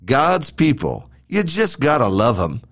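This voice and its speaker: A man's voice: mid-range voice, wildly swooping pitch, a little slow, a bit bright. A man hosting a devotional podcast, reading a scripted sermon in an earnest, emphatic way with rhetorical questions and repeated phrases. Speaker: podcast host and preacher.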